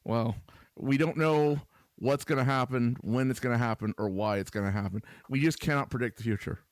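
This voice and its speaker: A man co-hosting a podcast in casual banter, with a dry, deliberate, playful delivery. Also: medium pitch, dry recording. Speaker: podcast co-host guest.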